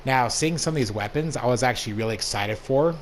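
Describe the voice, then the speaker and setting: A young man with a hoarse voice from allergies, casually talking through a game review as the channel's host. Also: mid-range voice, brisk, slight background noise. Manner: laid-back, plain-spoken, rambling asides. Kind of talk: casual gameplay commentary.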